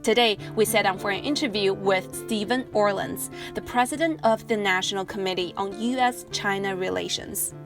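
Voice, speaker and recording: A woman reporter narrating a news feature in a clear, measured delivery and putting questions to interviewees. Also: mid-range voice. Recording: voice-over with music underneath.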